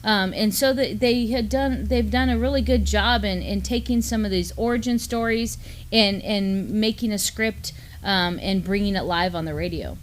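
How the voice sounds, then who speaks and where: A middle-aged woman co-hosts a live news show from a small studio desk. She speaks casually and warmly paced, peppering her talk with "you know" and "um", at an ordinary pitch for a woman. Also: normal speed. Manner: easygoing, many "you know" fillers. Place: studio with mottled backdrop, at a desk.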